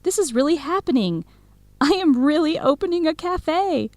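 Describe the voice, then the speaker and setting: A young woman playing a supportive, excitable best friend in an educational radio drama, eager, expressive and full of questions. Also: sits high, normal speed, no room sound. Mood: happy. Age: young adult.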